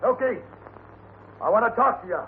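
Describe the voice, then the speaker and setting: An actor playing a stern gunfighter calling out loud, commanding ultimatums in a 1950s Western radio drama. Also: male voice, sits high, animated, moderate pace. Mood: stern, threatening. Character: gunfighter shouting stern ultimatums at holed-up outlaws. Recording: muffled old radio recording, faint hiss.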